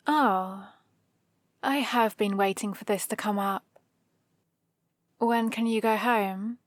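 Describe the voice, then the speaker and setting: A woman's voice: fairly high pitch, dry recording. A woman with a British accent playing a sardonic supervillain who grudgingly cares for an injured rival, in a dry, teasing ASMR roleplay. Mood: exasperated. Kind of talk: ASMR roleplay, close and unhurried.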